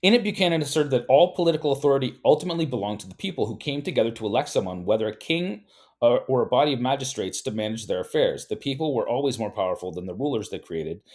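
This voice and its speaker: A man's voice: mid-range voice, expressive, fast talker, a little mellow. Man reading aloud with casual asides. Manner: reads steadily, stumbles and repeats words, breaks off into chatty asides. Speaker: host reading from a book and commenting.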